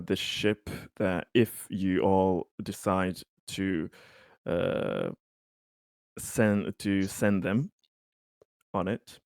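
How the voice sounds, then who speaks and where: Slow, halting man with a lowish voice. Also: a little mellow, clean tone, no echo, studio quality. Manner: hesitant, halting delivery. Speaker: tabletop roleplaying game player. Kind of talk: in-character roleplay discussion.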